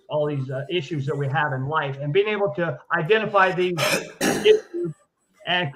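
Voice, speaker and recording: A man's voice: a little high, fairly bright tone, rough recording. A man hosting a live online show who introduces his guest hesitantly, with many restarts. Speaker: show host.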